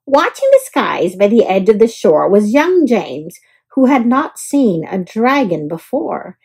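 A woman reading a children's picture book aloud as an expressive storyteller. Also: medium pitch, unhurried, vocal fry, slightly rough.

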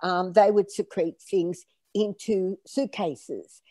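Older woman, mellow dry voice. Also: medium pitch, slow, no echo. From Australia. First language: French. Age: around 70. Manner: animated, gestures while telling stories. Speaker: guest author and storyteller. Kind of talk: conversational interview. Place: home room, indoors. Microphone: video call.

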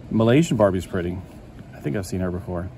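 Guy flipping thru a magazine at a table, kinda low mellow voice, rambly, faint hum behind. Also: animated, fast. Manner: rambling, musing aloud, easily delighted. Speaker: doll collector flipping through a magazine. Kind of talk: casual chat over a magazine flip-through. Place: indoors at a table.

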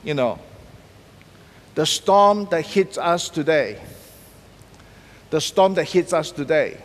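Middle-aged man, pastor, slow emphatic sermon delivery, big hall reverb. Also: a little high, a bit bright. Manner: emphatic, repeats key words, pacing and gesturing widely. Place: large church stage decorated for Christmas.

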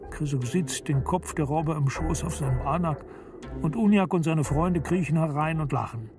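A man reading literary narration for a radio play in a measured, deliberate storytelling manner. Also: fairly high pitch. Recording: studio narration over prominent music. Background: music.

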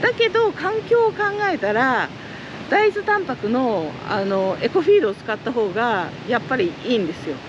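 A woman's voice: a little high, fairly quick, muffled recording, background noise. A middle-aged woman who runs a trout farm, talking earnestly and chattily outdoors beside fish ponds in an interview. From Japan. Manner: lively, chatty and earnest, with many fillers.

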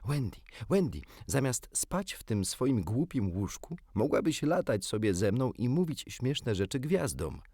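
Give us a man acting a storybook boy: pitched up, lively, sly and coaxing, eager to win someone over. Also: measured pace, hardly any pauses, husky, no room sound, studio quality, full character voice. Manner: sly, persuasive.

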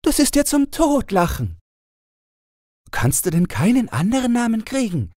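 A man's voice: very high voice, wildly swooping pitch, measured pace, a little mellow, dry recording, clean studio sound, voice pushed up, heavily acted. A man voicing a cheerful young fairy-tale princess with playful, naive, bossy chatter. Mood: amused, chattering.

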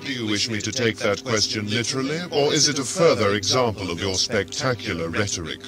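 An actor voicing a coolly logical artificial intelligence adviser in a sci-fi audio drama, precise and formal in speech. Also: male voice, on the low side, brisk, loud music behind the voice, natural voice. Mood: cool, pedantic.

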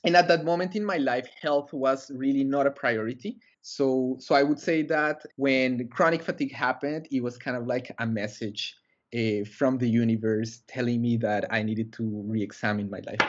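A man's voice: medium pitch, fairly even intonation, moderate pace, lo-fi sound. A young man from Colombia, a guest on a video-call interview, talking earnestly with many fillers and restarts. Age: young adult. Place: small room indoors. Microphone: headset on a video call.

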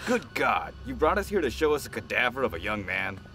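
A male actor plays an incredulous doctor in an audio drama. His middling voice swings very widely in pitch, excitable and doubting. Faint music sits behind him. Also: a little fast, close to an everyday voice. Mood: shocked, baffled. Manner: incredulous, animated. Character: skeptical, excitable male doctor, old colleague.

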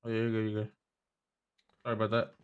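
A man's voice: lowish voice, completely flat delivery, quick pace, smooth. Phone-like male voice in game chat. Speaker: online role-play player. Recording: thin phone-like voice-chat sound.

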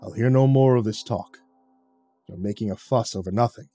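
A man's voice: medium pitch, lively intonation, warm tone, soft background music, pitched below the performer's own voice, lightly acted. Man doing a stern, formal creature keeper. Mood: stern, dry. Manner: measured, formal, no contractions.

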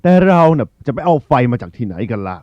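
A man voices a ruling eagle in a children's tale, authoritative and caring. His delivery is animated and commanding, at a middle pitch. The sound is dry. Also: fast, no echo, phone-quality sound. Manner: commanding, addressing a gathering. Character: male eagle ruler of the birds, authoritative yet caring. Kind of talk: acted children's storybook.

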